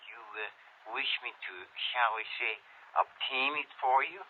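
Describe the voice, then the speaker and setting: A man plays a slimy underworld informant from Paris in a 1950s radio drama. His French accent is oily and greedy, stretched out with false courtesy. The voice is clean and smooth, but the recording is narrow and phone-like. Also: medium pitch, close to an everyday voice. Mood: sly, insinuating. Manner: oily, drawn-out haggling with mock politeness.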